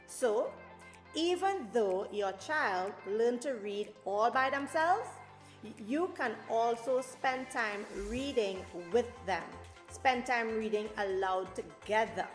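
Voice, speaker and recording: High-voiced woman hosting storytime. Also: lively intonation, takes it slowly. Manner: encouraging, addresses listeners directly. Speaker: host of a children's storytime show. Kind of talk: presenter talk to parents and children. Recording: roomy echo, faint music.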